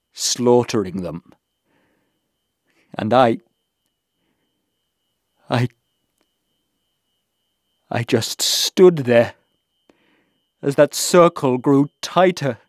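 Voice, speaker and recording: An actor playing a haunted, guilt-stricken crusader, recounting in a halting, anguished way in a historical audio drama. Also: male voice, medium pitch, no room sound, studio quality. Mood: stunned anguish. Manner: halting, repeating words, anguished.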